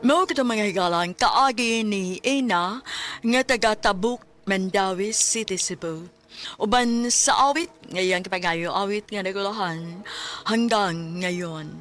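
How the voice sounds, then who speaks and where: A woman announcer with a Cebuano accent narrates for a radio drama. Her voice sits at an ordinary female pitch. She reads from a script over a music bed. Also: measured pace, fairly bright tone. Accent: Cebuano Filipino.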